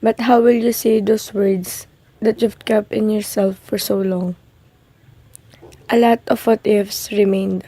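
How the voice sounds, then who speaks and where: A woman reading a scripted reflective monologue in a solemn, measured way for a radio drama. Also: medium pitch, steady tone, mellow, no echo.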